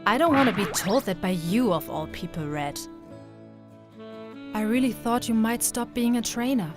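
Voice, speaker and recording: A woman playing an earnest, expressive young female trainer in an anime-style manga dub. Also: on the high side, normal speed, lightly acted. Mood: gentle, earnest. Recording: music under the voice. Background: background music.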